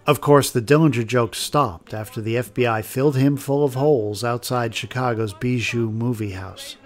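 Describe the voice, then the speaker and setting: A man's voice: medium pitch, moderate pace. A man reading scripted documentary narration as the narrator. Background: music.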